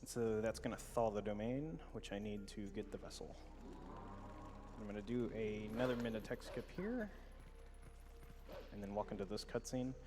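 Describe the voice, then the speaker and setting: A man's voice: on the low side, expressive, moderate pace, pauses often, a little hiss or hum. A man playing a game live and casually explaining its mechanics as he goes, with loose fillers. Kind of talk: casual live gameplay commentary. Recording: loud game music behind voice.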